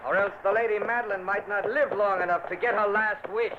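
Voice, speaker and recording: A man's voice: high voice, fairly even intonation, brisk, muffled recording, natural voice. An actor playing a domineering lord who threatens his sister, with theatrical delivery in vintage radio drama style. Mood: menacing. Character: domineering, menacing lord, man. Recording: scratchy old radio recording with hiss.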